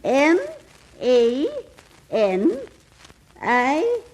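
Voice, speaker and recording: A woman's voice: high voice, sing-song, darkish tone, heavily acted, low-quality recording. A woman playing an ancient, eccentric, kindly and sly aunt in a radio comedy, dithery and hesitant. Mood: vague, concentrating. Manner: dithery, hesitant with many ums and pauses.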